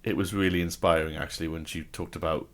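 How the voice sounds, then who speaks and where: Podcast host, very low voice, self-mocking storyteller, a bit rough. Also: male voice, steady tone, quick pace. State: a bit rough after a late night. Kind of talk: casual podcast chat.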